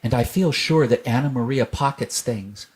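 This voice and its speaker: A man's voice: medium pitch, moderate pace, close to an everyday voice. A man voicing a blunt, suspicious tomcat shopkeeper in a children's storybook, dry and matter-of-fact. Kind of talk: children's storybook character reading.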